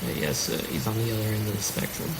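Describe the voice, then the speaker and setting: A man's voice: on the low side, expressive, fast, gravelly. A young man from South Carolina, a musician guest giving brief, polite answers in a live stage interview. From South Carolina, United States. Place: live stage broadcast show. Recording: very noisy, echoey stage recording.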